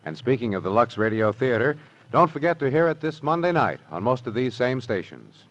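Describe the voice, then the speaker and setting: A man's voice: medium pitch, very animated, fairly quick. A man announcing in the formal scripted style of classic network radio. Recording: muffled old radio recording, faint music.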